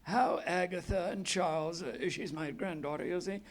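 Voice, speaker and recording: An actor playing an elderly, theatrical uncle with a hidden fanatic streak in a live radio play. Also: male voice, high-pitched. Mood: flustered amusement. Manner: doddering, halting, breaking into chuckles and cries. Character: elderly uncle, chuckling grandfather who is secretly a fanatic German spymaster. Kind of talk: live old-time radio play acting. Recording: noisy live theatre stage recording.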